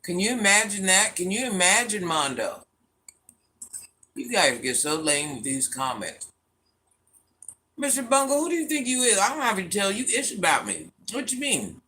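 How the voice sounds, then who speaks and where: A deep-voiced middle-aged woman hosting a casual livestream, reading viewer comments aloud and talking back to them bluntly and with sass. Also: sing-song, normal speed, pushed and bright, slight background noise. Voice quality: deep, smoky voice. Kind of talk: casual live chat.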